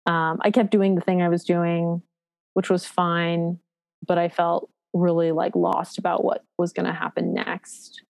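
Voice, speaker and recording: A woman in her thirties speaks in a lowish voice, recorded close and dry with no room sound. She tells her own story frankly and reflectively, pausing and restarting often, with plenty of fillers and a self-deprecating edge. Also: little pitch movement, creaky, a little mellow, no room sound. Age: 30s. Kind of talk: candid personal storytelling.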